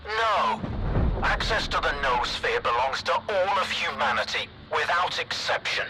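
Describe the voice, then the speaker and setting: Old scientist for a game cutscene, lecturing and idealistic, voice swoops up and down a lot, pitch sits a bit high. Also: male voice, background noise. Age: older. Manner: grand, philosophical, sweeping. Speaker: doctor. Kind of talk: video game cutscene acting.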